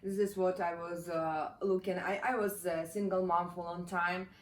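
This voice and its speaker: Woman from Ukraine with an accent, lowish voice, plain hesitant English, echoey room with noise behind her. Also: little pitch movement, moderate pace. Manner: simple, hesitant English with fillers. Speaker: wife sharing her story. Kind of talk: casual testimonial.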